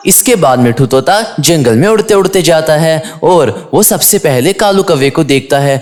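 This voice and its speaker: A man's voice: on the high side, animated, moderate pace, darkish tone. A man giving scripted third-person narration for a children's cartoon story.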